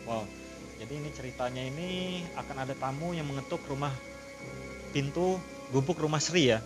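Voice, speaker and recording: A man narrating a horror story in a slow, savouring read-aloud, with his own commentary to viewers. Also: fairly high pitch. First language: not Javanese; Javanese not fluent. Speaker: storytelling content creator. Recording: music clearly behind the voice. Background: background music.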